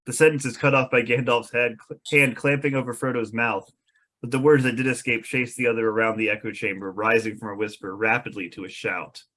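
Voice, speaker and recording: Mid-pitched man narrating stage directions. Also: little pitch movement, fairly quick, fairly bright tone. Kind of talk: scripted narration at a table read.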